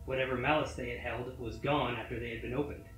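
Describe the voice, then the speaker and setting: A man reading a scripted horror story in a measured, matter-of-fact manner. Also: medium pitch, fairly even intonation, fairly bright tone. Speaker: story reader. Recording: roomy echo. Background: faint music.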